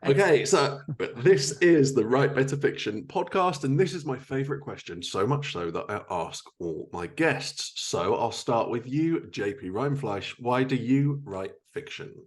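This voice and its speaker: A man's voice: mid-range voice, expressive, measured pace, hardly any pauses. A man hosting a podcast, chatting casually as he interviews his guests. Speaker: podcast host.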